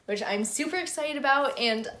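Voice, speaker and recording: Young woman with a higher-pitched voice. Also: lively intonation, normal speed, sharp tone. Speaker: book vlogger. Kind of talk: casual chat to camera about books.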